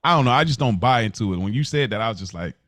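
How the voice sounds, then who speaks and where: A man's voice: medium pitch, animated, rapid-fire, fairly bright tone, dry recording. Man chatting casually, close dry sound. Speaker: podcast co-host. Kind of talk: casual podcast conversation.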